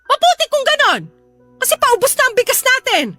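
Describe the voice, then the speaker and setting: A nagging, hot-tempered Filipino mother in an audio melodrama. Her voice is extremely high and piercingly bright. She scolds and threatens in exclamations, over a light bed of music. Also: sing-song, fairly quick, no echo, exaggerated voice. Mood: angry, scolding.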